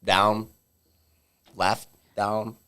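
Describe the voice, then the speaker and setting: Man in his twenties, casual chat, laid-back, swears a lot, somewhat low voice. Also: level tone, drawn-out words, bright, forceful tone, clear, resonant tone. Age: 20s. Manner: laid-back, profane, emphatic.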